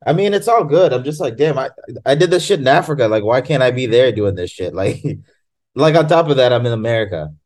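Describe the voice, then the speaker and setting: A man's voice: medium pitch, lively intonation, brisk, darkish tone. A man from Tanzania chatting casually and animatedly over a video call, laughing and swearing. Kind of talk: casual chat.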